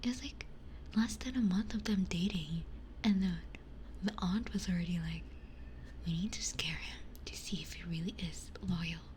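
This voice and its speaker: A woman streamer chatting casually in a breathy ASMR whisper into a close binaural microphone, telling stories in a candid, gossipy way. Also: fairly low pitch, moderate pace, a little mellow, slight background noise. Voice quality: breathy, mostly unvoiced whisper.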